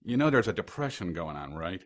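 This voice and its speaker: A man playing a gruff, sarcastic private detective boss from the 1930s in an audio drama. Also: low voice, big pitch swings, brisk, fairly bright tone, dry recording, clean studio sound.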